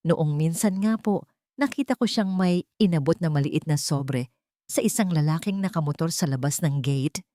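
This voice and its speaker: A woman voicing an elderly male house helper in an audio story, soft-spoken, deferential and gentle in manner. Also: fairly high pitch, moderate pace, dry recording, studio quality, lightly acted. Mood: reluctant, insinuating.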